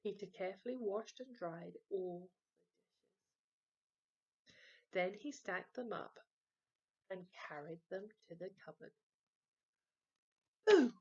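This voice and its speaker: A woman storyteller with a creaky voice, narrating a children's story expressively. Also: medium pitch, measured pace, pauses often, dull audio.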